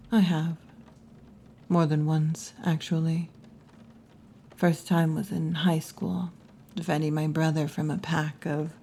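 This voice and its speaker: A woman voicing a brash yet kindly tomboy wolf girl bartender in an intimate, unhurried ASMR roleplay, often dropping toward a whisper. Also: low-pitched, mellow, husky, full character voice. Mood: soft, confiding. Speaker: bartender character in audio roleplay.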